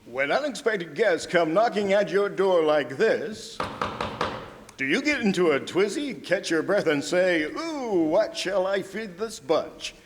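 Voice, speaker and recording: A man's voice: high-pitched, expressive, unhurried. A man playing an enthusiastic, theatrical announcer in a 1940s-style radio commercial. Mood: eager selling. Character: perky old-time radio pitchman selling canned meat. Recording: echoey hall stage, faint noise.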